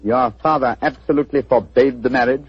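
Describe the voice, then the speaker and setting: A man playing a brisk, confident detective. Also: mid-range voice, steady tone, no room sound, natural voice. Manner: crisp, confident deductions with small hesitations. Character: sharp, brisk male detective, middle-aged. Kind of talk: 1940s radio acting. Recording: dull old radio recording.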